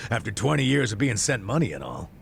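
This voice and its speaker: Middle-aged male ex-cop bluffer, game drama; fry-laden voice, casual bluster, animated delivery. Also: lowish voice, moderate pace, a little hiss or hum, full character voice. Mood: casual, pleading. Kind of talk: video game cutscene dialogue.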